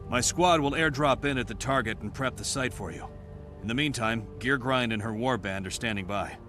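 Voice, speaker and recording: Actor as male soldier, medium pitch, steady, dutiful, crisp game cutscene delivery, music behind. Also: normal speed, close to an everyday voice. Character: steady, helpful male military tactician. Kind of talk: video game cutscene acting.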